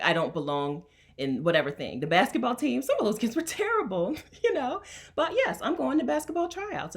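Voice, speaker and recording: Chatty, emphatic woman, lowish voice. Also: fairly bright tone. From Delaware, USA. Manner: chatty and emphatic, laughs often, addresses viewers as "y'all". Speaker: coach and host. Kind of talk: casual livestream talk to a chat.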